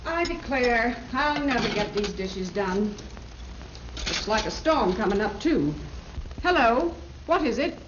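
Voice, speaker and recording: A woman's voice: a little high, animated, slow pace. An actress playing a fussing farm wife and mother in a 1930s radio western. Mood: homely, fretful. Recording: noisy, echoey, muffled 1930s recording.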